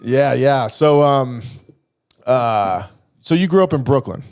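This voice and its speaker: A man's voice: mid-range voice, animated, slow pace, darkish tone, clear, resonant tone. A man hosting a podcast, talking in a casual, conversational way. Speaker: podcast host. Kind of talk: casual interview chat.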